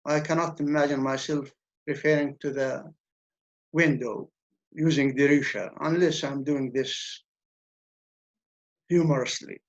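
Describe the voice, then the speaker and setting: Guy lecturing, slow and careful, kinda high voice, dull lo-fi sound. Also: steady tone. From Levant. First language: Arabic. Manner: measured, explanatory, repeats words when restarting. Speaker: university lecturer. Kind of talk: academic lecture. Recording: dull lo-fi recording.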